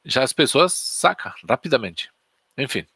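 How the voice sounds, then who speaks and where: A man's voice: medium pitch, very animated, unhurried, fairly bright tone, breathy edge, dry recording, studio quality. A man with a Spanish accent, a native Spanish speaker, teaching Spanish in a chatty, anecdotal style and putting questions to his viewers. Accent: Spanish from Spain. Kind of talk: casual storytelling lesson on a live stream.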